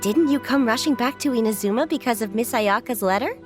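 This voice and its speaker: A woman's voice: on the high side, animated, fairly quick, natural voice. An actress playing a sociable, polite young woman who chats as an event organizer in a video game. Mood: pleasantly surprised. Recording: music clearly behind voice.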